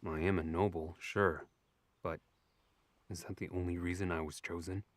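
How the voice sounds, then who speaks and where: A man's voice: deep voice, fairly even intonation, moderate pace, no echo, lightly acted. A man playing a wry, abrasive young elf nobleman in an audio drama, with a dry, sardonic delivery. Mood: questioning.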